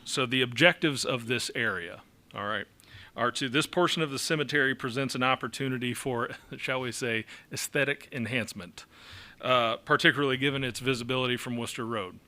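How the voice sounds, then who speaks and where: A man's voice: mid-range voice, sharp tone. A man presenting an ordinance at a city council meeting, explaining it with many fillers and an occasional chuckle. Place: council chamber.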